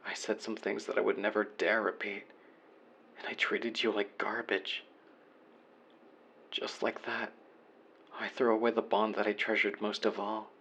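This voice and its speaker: A man acting out a remorseful young man in a voicemail roleplay, speaking low with a gravelly fry. His delivery is earnest and confessional, staying flat and subdued, over faint background noise. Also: a little slow, pauses often. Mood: regretful, subdued. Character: remorseful young man apologizing to his former best friend. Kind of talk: scripted audio roleplay, voicemail monologue.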